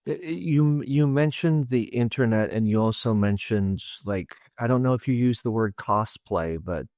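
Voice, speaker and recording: A man co-hosting a podcast, phrasing a long question in a halting, searching way. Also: mid-range voice, darkish tone, no echo, studio quality. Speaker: podcast co-host. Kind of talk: interview questions.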